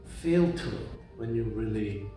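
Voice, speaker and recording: Young man whose first language is Korean, unhurried rambling monologue, distant mic in a reverberant room. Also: on the low side, animated, warm tone, soft background music. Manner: rambling, many restarts and fillers like "right?". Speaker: reader talking about books. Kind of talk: casual monologue. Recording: very echoey room, voice from far off.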